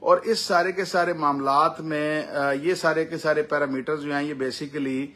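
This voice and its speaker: A man with a Pakistani accent giving a semi-formal explainer as a lawyer, speaking deliberately with drawn-out hesitations. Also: fairly high pitch, steady tone, fairly bright tone.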